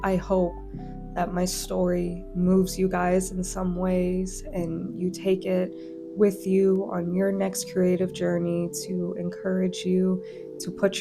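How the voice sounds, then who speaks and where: A woman with a slightly hoarse voice from a cold, making an earnest, heartfelt announcement to her channel's followers. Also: lowish voice. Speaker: channel host and fan fiction author. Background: plucked guitar music.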